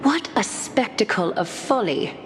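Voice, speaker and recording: A woman voicing an elegant, authoritative noblewoman in a video game, measured, formal and coolly reproving. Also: mid-range voice, animated, background noise, lightly acted. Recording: music under the voice.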